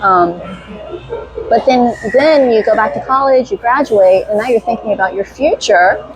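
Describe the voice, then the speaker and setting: A middle-aged woman hosts a relaxed conversation. She speaks with eager, chatty enthusiasm and leans hard on her key words. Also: a little high, normal speed, highs cut off, a crowd in the background. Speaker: interview host. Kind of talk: casual reminiscing conversation.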